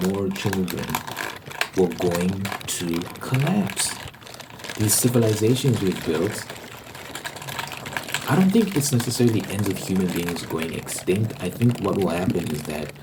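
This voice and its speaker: A man's voice: low-pitched, noisy background. A man giving a rambling ASMR monologue as a video host, emphatically repeating phrases to drive his points home.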